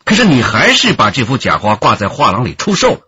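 An actor playing a wily, taunting senior detective in a radio drama, needling with leading questions. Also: male voice, medium pitch, wildly swooping pitch, fairly quick, pushed and bright, slightly rough, no echo, dull audio, exaggerated voice. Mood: stern, needling. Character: wily, taunting male police detective in command. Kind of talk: Chinese radio drama acting.

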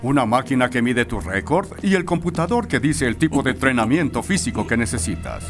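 A wise, measured cartoon team coach, with an average pitch and lively intonation. Faint music plays behind his reassuring delivery. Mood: composed. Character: calm, wise male soccer team coach.

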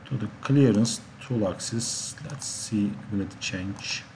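Guy teaching software step by step, slow n halting, some background noise and keyboard clicks. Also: lowish voice. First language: Turkish. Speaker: instructor. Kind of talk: software tutorial narration.

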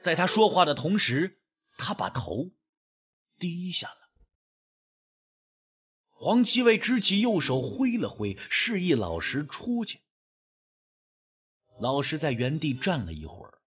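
Animated male suspense audiobook narrator. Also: a little high, husky, dull audio. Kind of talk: dramatic scripted suspense narration.

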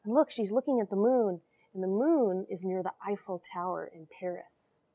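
A woman in her forties reading and explaining a story to children in an animated, questioning way from a small airlock. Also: mid-range voice, normal speed. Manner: animated, expressive, addresses young listeners with questions. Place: small cramped metal space station airlock.

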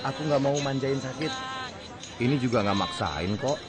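Guy as cheeky young soccer player in a radio play, teasing, loud music under him. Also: mid-range voice, expressive, normal speed, lightly acted. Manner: playful, teasing. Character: cheeky, cheerful injured young male footballer. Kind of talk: radio drama acting.

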